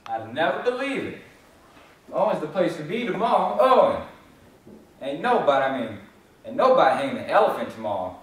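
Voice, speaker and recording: A man's voice: fairly high pitch, very animated, a little fast, pauses often. A man playing a wry, folksy small-town observer in dramatic stage storytelling. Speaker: actor in a student readers theater group. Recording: very echoey hall, distant mic.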